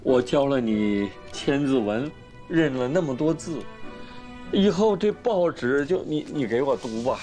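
Actor doing a cranky old great-grandpa, can't see well, stammers n yells, voice swings all over, faint music under it. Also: male voice, mid-range voice, animated, lightly acted. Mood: fond. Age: older. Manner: stammering, quick to anger, shouts. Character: frail old great-grandfather, fiery patriot, failing eyes. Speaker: household elder.

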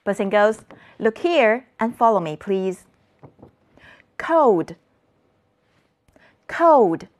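A woman's voice: medium pitch, big pitch swings, extremely slow, long pauses, darkish tone. A woman teaching children an English lesson, modeling words for the class to repeat.

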